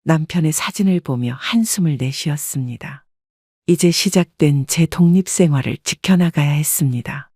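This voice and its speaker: Give me a woman calmly telling a life story, a bit husky, close and dry. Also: low voice, dry recording. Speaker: narrator. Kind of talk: first-person story narration.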